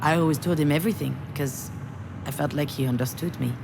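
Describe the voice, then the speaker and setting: A woman's voice: sits low, fairly quick, vocal fry, background noise. An actress playing a vulnerable, wry, grieving woman writer in a narrative video game, reflective and confiding in a naturalistic style. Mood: tender, reflective.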